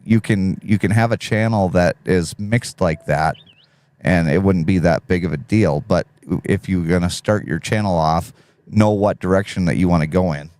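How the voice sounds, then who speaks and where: A man with a deep, smooth radio voice, low in pitch, hosting a relaxed live stream. He reads viewers' chat aloud, offers advice and laughs easily. The sound is dry and close. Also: moderate pace, no echo. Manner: laid-back, reads chat messages aloud, laughs often.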